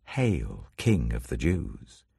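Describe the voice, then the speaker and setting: A man voicing hostile, mocking men in a scripture reading, with drawn-out scornful delivery. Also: very deep voice, huge pitch swings, vocal fry, mellow, breathy edge, no room sound, studio quality, voice pushed down, full character voice. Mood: scornful, jeering. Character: hostile mocking crowd members, priests and soldiers.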